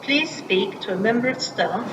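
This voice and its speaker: A woman's voice: a little high, lively intonation, moderate pace. A British woman making formal, automated railway announcements in pieced-together scripted phrases over a station public address. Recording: echoey noisy station speakers from far off.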